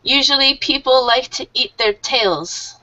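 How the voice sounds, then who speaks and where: Woman teacher, higher pitch, explains slowly and warmly, dull lo-fi online call audio. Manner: friendly, explains slowly with fillers. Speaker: English teacher.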